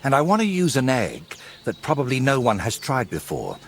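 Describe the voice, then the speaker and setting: An actor playing a brooding, secretive medieval painter in a historical video game, wry, teasing and evasive. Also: male voice, mid-range voice, huge pitch swings, normal speed, a little hiss or hum. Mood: earnest, secretive. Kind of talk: dramatic dialogue in a cinematic historical game.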